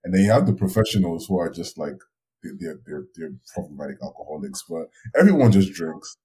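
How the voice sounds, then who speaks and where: Low voiced dude chatting, laid back storytelling, laughs a lot. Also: male voice, very animated, fairly quick, warm tone. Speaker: podcast co-host. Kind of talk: casual chat.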